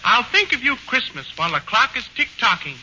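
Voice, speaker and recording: A man's voice: very high voice, big pitch swings, a little fast, sharp tone. A man as comic foil in 1940s radio comedy, with a whiny, boyish, put-upon manner. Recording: dull old radio broadcast, faint hiss.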